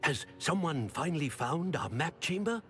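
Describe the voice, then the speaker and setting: An actor playing a dignified old professor wizard in a video game, formal and measured in his phrasing. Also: on the high side, lively intonation, a bit bright, faint music behind. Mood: surprised, dignified. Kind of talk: video game character acting.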